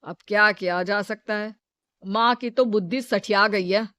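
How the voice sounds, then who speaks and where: Woman doing a selfish son in a moral story, mid pitch, casual n dismissive, smooth talker, dry sound. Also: fairly even intonation, a little slow, bright, forceful tone, no echo. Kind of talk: Hindi moral story dramatization.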